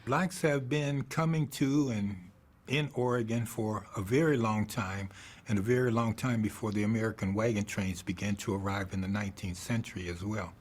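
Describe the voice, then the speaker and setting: A man's voice: lowish voice, fairly even intonation, normal speed. A man speaking as a history expert in a documentary interview.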